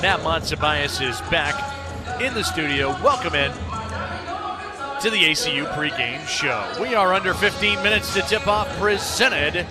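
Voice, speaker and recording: A man's voice: a little high, animated, a little slow, loud music behind the voice. An American man working as a radio play-by-play announcer, giving live sports commentary.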